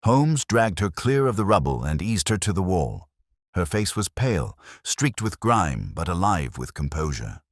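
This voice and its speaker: A male audiobook narrator with an extremely deep voice reads a scripted mystery dramatically. His pitch moves in lively swings, and the recording is dry and close. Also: a little slow, dry recording.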